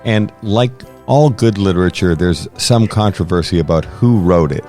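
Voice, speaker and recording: A man's voice: sits low, moderate pace, a little mellow, soft background music. A man co-hosting a podcast, presenting and reading verse in a storytelling style. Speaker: podcast co-host.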